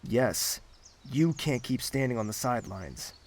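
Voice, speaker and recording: A man's voice: medium pitch, animated, measured pace, lightly acted. A man playing an imperious, disapproving prince in an audio drama, curt and commanding.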